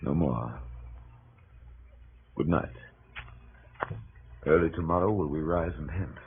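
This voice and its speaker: An actor playing an aggrieved, hot-tempered Roman conspirator in declamatory, impassioned 1930s radio Shakespeare style. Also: male voice, very deep voice, slow pace, vocal fry, exaggerated voice. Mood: grave, hushed. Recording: muffled, noisy 1930s radio broadcast.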